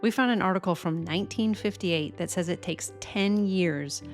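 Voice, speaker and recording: A woman with an American accent and a fairly low voice narrates from a script. She speaks as a co-host, with faint music playing behind her. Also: normal speed. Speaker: co-host of a ham radio channel.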